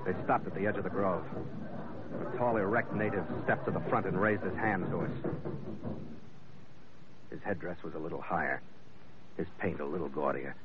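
남자 배우가 연기하는 거칠고 무뚝뚝한 화물선 선장. 짧게 끊어 말하는 하드보일드 말투로, 전화처럼 얇은 옛 라디오 음질이다. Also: male voice, low voice, moderate pace, pauses often, a loud crowd, natural voice. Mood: narrating. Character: tough, blunt male cargo skipper. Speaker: narrator and lead, Carney. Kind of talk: 1940s radio acting. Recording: tinny old radio broadcast.

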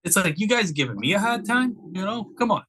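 A man's voice: high-pitched, sing-song, fairly quick, crowd noise. A man with a Boston accent, a guest author chatting casually in an easygoing, wry way. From Boston area. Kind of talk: casual interview chat.

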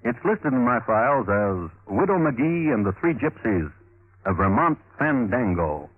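An actor playing a dry, wry crime-history storyteller, narrating a 1950s radio show in a deadpan manner. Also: male voice, mid-range voice, measured pace, breathy edge. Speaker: host and narrator. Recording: tinny vintage radio recording.

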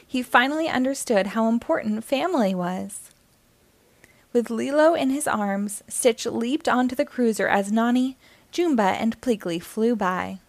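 A woman's voice: on the high side, unhurried, no room sound. A woman reading a children's story aloud as scripted narration.

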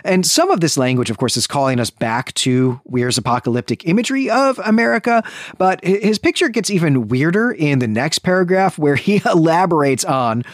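A man's voice: a little high, expressive, brisk, no echo, clean studio sound. A man co-hosting a literary discussion podcast, explaining in a discursive, enthusiastic way. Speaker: podcast host.

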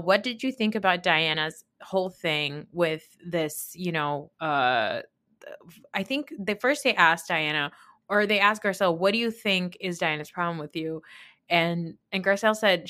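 Woman in her thirties, lowish pitch, casual recap commentary, blunt, opinionated, sweary, emphatic podcast host. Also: bright, forceful tone. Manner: emphatic, mimics others when quoting.

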